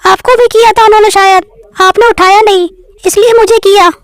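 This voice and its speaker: A woman's voice: extremely high pitch, fairly even intonation, fairly quick. A woman voicing a chatty young female relative in a cartoon family story, with a familiar manner.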